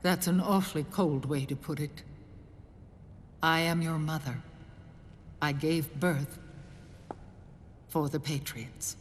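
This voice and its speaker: An actress playing an older woman who leads a resistance group, speaking in a soft, measured, deliberate way in a game cutscene. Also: sits low, steady tone, a little hiss or hum. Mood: solemn. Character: older woman, calm mysterious rebel leader. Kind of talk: video game cutscene acting. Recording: reverberant game cutscene with music.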